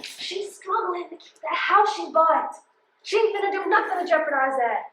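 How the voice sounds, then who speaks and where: A high female American voice with a roomy echo around it. An actress plays a hard-working, suspicious postal carrier in a stage drama, emphatic and guarded, talking in streetwise everyday speech. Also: normal speed, pushed and bright, a little hiss or hum. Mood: suspicious, insistent. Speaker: stage actor. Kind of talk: stage play dialogue, colloquial.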